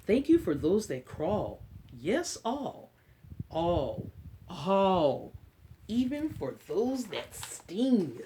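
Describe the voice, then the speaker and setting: A woman hosting a children's story time, reading a picture book aloud in an expressive, drawn-out way with chatty, encouraging asides. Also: medium pitch, a little hiss or hum.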